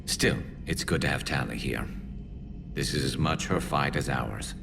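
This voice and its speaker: An actor playing a weary, burdened male alien war advisor in a video game, with a dry, reflective delivery and a gravelly low voice. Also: a little slow, exaggerated voice. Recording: noisy, slightly distant game audio.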